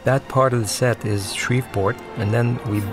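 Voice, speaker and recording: A man giving unscripted commentary on his own film, talking in a conversational, reflective and slightly halting way. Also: medium pitch, steady tone. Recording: film soundtrack music playing behind. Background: film soundtrack music.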